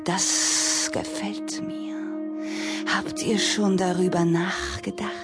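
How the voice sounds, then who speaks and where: A giant female snake in a children's audio drama speaks slowly and cryptically. The voice is lowish and imperious, with deliberate pauses, over prominent music. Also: little pitch movement. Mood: musing. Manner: measured, cryptic, breathy pauses. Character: sly, enigmatic giant female snake, slow and commanding. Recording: loud music bed behind voice.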